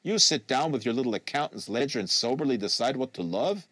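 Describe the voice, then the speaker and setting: A man's voice: mid-range voice, expressive, fairly quick, fairly bright tone, no echo. Audiobook role: man as jaded American spy, dismissive, sardonic, needling, dry close sound. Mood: challenging, sardonic. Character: weary, sardonic American male field agent.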